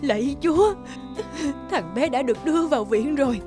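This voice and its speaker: Cartoon mother, middle-aged: earnest, emotional, fairly high, clear music underneath. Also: animated, moderate pace. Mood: moved, grateful. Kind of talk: animated film dubbing. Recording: loud background music.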